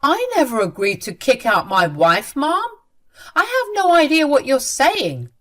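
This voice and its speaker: A woman's voice: high voice, huge pitch swings, unhurried, a bit bright, voice pushed up, heavily acted. A woman voicing an angry grown son who scolds his mother in a firm, stern way.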